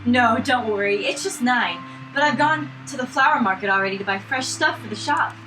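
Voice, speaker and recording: A woman voicing a brisk, motherly, teasing florist in a visual novel. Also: fairly high pitch, music in the background, natural voice, low-quality recording. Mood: reassuring.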